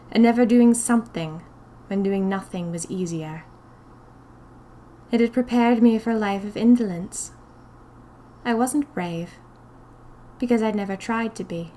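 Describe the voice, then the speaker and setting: Slow, deliberate woman narrating fiction. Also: mid-range voice, warm tone, slight background noise. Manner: deliberate, dramatic. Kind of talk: scripted first-person fiction narration.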